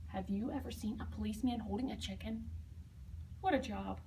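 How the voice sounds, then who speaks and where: A woman reading a children's picture book aloud expressively, with dramatic emphasis and hushed asides to young listeners. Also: mid-range voice, fairly quick, creaky, distant mic, a little hiss or hum, poor audio. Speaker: storybook reader.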